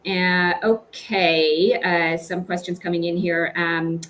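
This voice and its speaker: A woman's voice: on the low side, flat delivery, slow pace, a bit bright. A middle-aged woman hosting an online question-and-answer session as a recruitment director, encouraging and generous with praise. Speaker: webinar host and recruitment director. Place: small home office indoors. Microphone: computer microphone on video call. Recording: faint background noise on video call.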